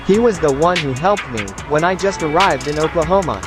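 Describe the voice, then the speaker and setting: A man voicing a kindly, calm mentor with a measured manner in an audio novel. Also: a little high, close to an everyday voice. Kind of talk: scripted audio novel dialogue. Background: music.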